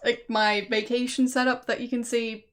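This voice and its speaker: A woman's voice: on the high side, level tone, moderate pace, a bit bright. A young woman from Austria with an Austrian German accent, chatting casually with her stream viewers and laughing often. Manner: chatty, laughs often, answers chat guesses.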